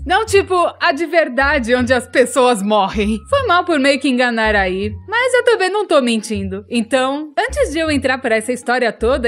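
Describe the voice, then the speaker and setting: A woman with a Brazilian accent voicing a chatty, self-mocking young storyteller in a fan-dubbed animated video, with comic, exaggerated asides. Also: high voice.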